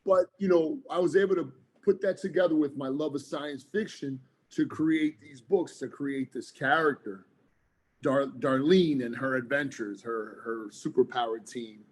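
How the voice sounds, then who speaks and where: A man's voice: medium pitch, moderate pace. A man, a former police officer turned author, talking earnestly and rambling a little as a podcast guest. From New Jersey, United States. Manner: earnest, rambling, frequent fillers. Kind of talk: casual interview chat.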